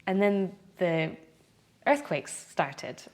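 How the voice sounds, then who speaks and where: A woman's voice: fairly low pitch, very animated, slow. A woman co-hosting a podcast, speaking casually and prompting her co-host with "you know".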